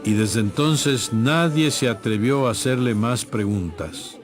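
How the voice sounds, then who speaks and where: Medium-pitched male scripture narrator over music. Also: unhurried. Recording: music playing behind.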